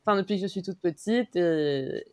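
A woman's voice: lowish voice, normal speed, no room sound, clean studio sound. A woman, a guest on a podcast, talking casually with many hesitations and restarts. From Mexico. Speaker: guest, concert organizer.

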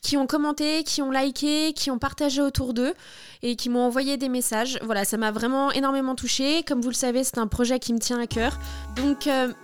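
Woman in her 30s narrating her podcast from a script, voice a bit high, music underneath. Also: fairly even intonation, fairly quick, smooth. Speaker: podcast host.

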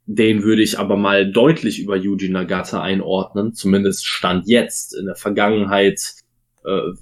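Ziemlich tiefe Männerstimme, meinungsstark. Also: male voice, lowish voice, flat delivery, moderate pace. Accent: German. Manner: opinionated, weighs arguments with many fillers. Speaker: podcast co-host. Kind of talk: casual wrestling podcast chat.

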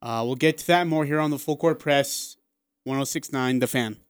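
A man's voice: mid-range voice, normal speed, smooth, dry recording. A man hosting a sports radio show, casually running through schedules and what is coming up next.

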